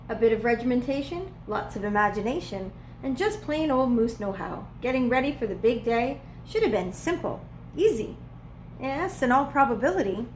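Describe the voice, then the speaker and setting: A woman reading a children's storybook aloud as a preschool teacher would, with expressive, playful emphasis. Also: high voice, a little hiss or hum, low-quality recording. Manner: dramatic, playful emphasis on repeated words.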